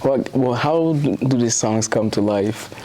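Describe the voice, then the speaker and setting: A man hosts a music interview, with a voice in an ordinary middle pitch. He asks his questions in a relaxed way, uses hip-hop slang, and often circles back to rephrase them. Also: measured pace, poor audio. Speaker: interview host who also makes music.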